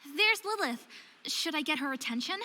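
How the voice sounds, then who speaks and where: A woman's voice: high-pitched, lively intonation, moderate pace, bright, forceful tone, exaggerated voice. An actress playing a young part-faerie woman in a visual novel game, apologetic and wary, with a hesitant, earnest way of talking. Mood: thoughtful, curious.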